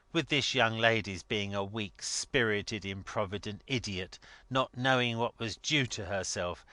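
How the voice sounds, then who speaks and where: A man's voice: medium pitch, sharp tone, dry recording, voice pushed up. A man voicing a blustering, scornful older rich man in a dramatic story reading, emphatic and mocking. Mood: sneering.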